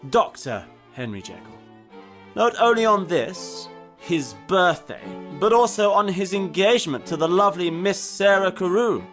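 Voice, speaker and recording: A hearty Victorian gentleman, played by a male actor, holds forth in a high, lively voice. The style is theatrical, with faint violin music behind. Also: normal speed. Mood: hearty, ceremonious. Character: hearty married Victorian gentleman making toasts. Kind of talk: theatrical period drama acting.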